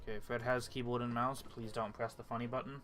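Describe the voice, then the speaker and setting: Young dude hosting a stream, medium voice, dry jokey chat with friends. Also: male voice, medium pitch, little pitch movement, a little fast, fairly bright tone. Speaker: live stream host. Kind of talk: casual chat while setting up a stream.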